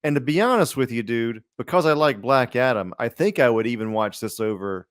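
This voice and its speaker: A man with a mid-pitched voice talks as a podcast co-host in a loose, slangy, rambling style. The sound is dry, with hardly any room on it. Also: animated, a little fast, smooth, no echo. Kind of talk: casual chat about superhero films.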